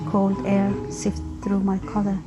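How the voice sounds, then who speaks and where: A woman reciting a slow, measured spoken-word poem. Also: medium pitch, completely flat delivery, music in the background, poor audio. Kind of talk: slow, even spoken-word poetry over music.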